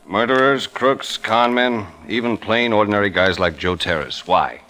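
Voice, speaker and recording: An actor playing a blunt, skeptical plainclothes cop in a 1940s radio drama. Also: male voice, on the low side, normal speed, bright, forceful tone.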